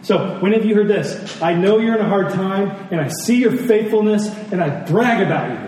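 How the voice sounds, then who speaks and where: In a very reverberant hall, picked up from a distance, a man delivers a sermon. His voice is fairly high and earnest. He teaches conversationally, repeating key phrases and posing questions to his listeners. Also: lively intonation, moderate pace, a little mellow. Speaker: preacher. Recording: very echoey hall, distant mic, faint noise.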